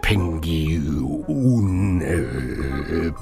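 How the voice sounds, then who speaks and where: An actor playing a slow-witted, forgetful polar bear in a children's audio play, halting and stumbling over words. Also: male voice, a bass voice, big pitch swings, over music, full character voice. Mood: sleepy, puzzled.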